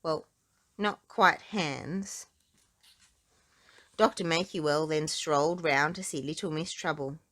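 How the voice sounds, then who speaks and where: A woman reading a children's book aloud in an expressive storytelling style, drawing out words for emphasis. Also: sits low, slow, long pauses.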